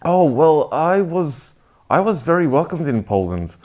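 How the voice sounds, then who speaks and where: A man, relaxed and a little halting in an interview. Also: a little high. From Australia. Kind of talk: casual interview answers.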